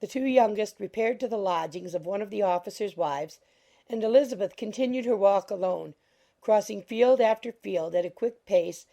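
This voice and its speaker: A woman's voice: medium pitch, gravelly, mellow. A woman narrating a literary audiobook from a script.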